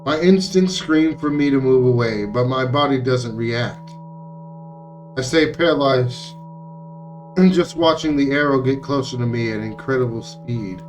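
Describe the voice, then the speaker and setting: A man's voice: medium pitch, measured pace, distant mic. A man reading visual novel text aloud as dramatic narration, now and then stumbling over words and rereading them. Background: music.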